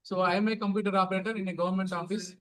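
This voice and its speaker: A middle-aged man from India with an Indian accent, hosting a casual English practice chat and speaking in a halting way that starts most lines with "so". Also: high voice, steady tone, a bit bright. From Telangana, India. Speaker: host.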